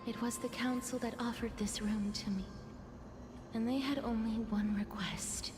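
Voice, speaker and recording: A woman playing a gentle, solemn young holy maiden in a video game, speaking softly and breathily in a formal, hesitant way. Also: a little high, fairly even intonation, moderate pace, natural voice. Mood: earnest, subdued. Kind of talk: scripted English video game voice acting. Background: game music.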